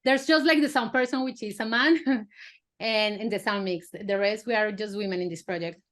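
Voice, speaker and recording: A woman filmmaker from Colombia, a native Spanish speaker, answering interview questions in casual, halting English with many fillers and restarts. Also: medium pitch, a bit bright. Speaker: filmmaker guest.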